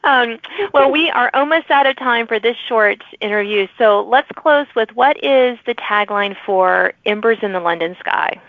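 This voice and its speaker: A woman's voice: a little high, moderate pace, hardly any pauses, dry recording. A woman hosting a casual author interview in a chatty, informal, agreeable manner. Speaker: podcast host. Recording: thin phone-like audio.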